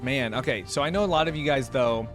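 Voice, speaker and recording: A man's voice: medium pitch, fast, over music. A man giving casual, informal reaction commentary, often putting questions straight to his viewers. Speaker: reaction video host.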